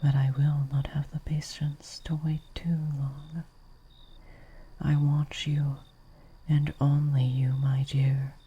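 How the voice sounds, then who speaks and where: A woman acts out a courtly female vampire in an intimate audio roleplay. Her voice is very breathy and husky, often slipping into a whisper, with a dark, velvety tone. She speaks slowly and formally, gentle yet possessive as she coaxes. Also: deep voice, level tone, lo-fi sound, full character voice. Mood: tender, possessive. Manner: formal, archaic, slow, coaxing.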